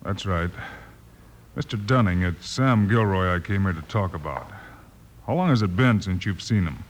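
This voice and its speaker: An American actor playing a steady, commanding Old West marshal in a radio western, plain-spoken and authoritative. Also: male voice, low voice, normal speed. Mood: businesslike. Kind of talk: 1950s radio western acting. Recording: old radio recording, faint noise.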